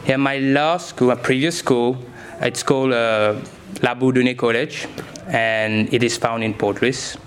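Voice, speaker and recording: A man's voice: medium pitch, steady tone, measured pace. A young man, answering interview questions as a science teacher in simple, enthusiastic phrases.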